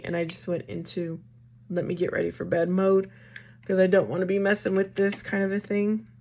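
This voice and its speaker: A woman in her thirties with a raspy voice, chatting casually to viewers at home in a rambling, friendly way. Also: medium pitch. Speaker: vlogger. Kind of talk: casual get-ready-with-me chat.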